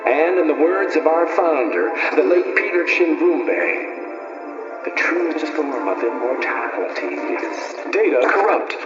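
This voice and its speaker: A man's voice: a little high, animated, unhurried. An actor playing a grave, persuasive male corporate recruiter giving a scripted, recorded promotional speech in a video game. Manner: smooth-talking, grave, salesmanlike. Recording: echoey muffled recording, loud music.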